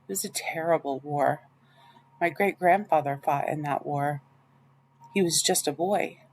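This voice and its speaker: Genteel old female librarian for a children's storybook, voiced by a woman, emphatic and theatrical, lowish pitch. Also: a little slow. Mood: grave, dramatic. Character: elderly female librarian, genteel, dramatic, fond of stories.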